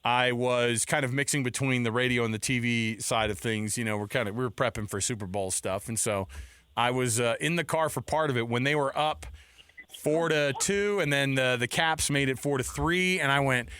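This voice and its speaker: A man's voice: medium pitch, normal speed, a bit bright, clear, resonant tone. An American man hosting sports radio, talking casually in a bantering, effusive way on a close studio mic. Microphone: close studio microphone.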